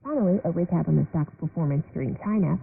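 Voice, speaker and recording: A woman's voice: on the low side, fast talker, vocal fry, husky. Female business newsreader on thin shortwave radio. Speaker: business news presenter. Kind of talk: scripted market news bulletin. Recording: noisy, narrowband shortwave radio broadcast.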